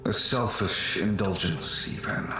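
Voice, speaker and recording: An actor playing an ancient, gentle, melancholy male spirit in a fantasy game, speaking formally and solemnly. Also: low-pitched, measured pace. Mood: sorrowful, solemn. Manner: formal, solemn, archaic phrasing. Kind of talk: dramatic video game character acting. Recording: heavy ghostly echo, faint music.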